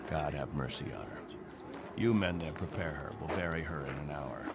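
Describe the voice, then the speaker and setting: An actor playing a stern, devout captain of a struggling space colony in a radio drama, speaking with solemn, earnest authority. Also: male voice, a bass voice, moderate pace, vocal fry, very noisy. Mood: solemn, grieving.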